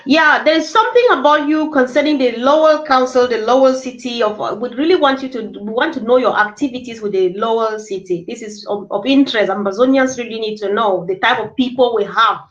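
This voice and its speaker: A woman's voice: a little high, fairly quick, few pauses, lo-fi sound. A woman hosting an interview, speaking in a formal, courteous way. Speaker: host.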